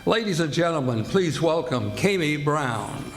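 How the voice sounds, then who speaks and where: A man's voice: a little high, expressive, unhurried, heavy background noise. An American man announcing a live stage show in the scripted style of 1940s radio. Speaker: announcer and host.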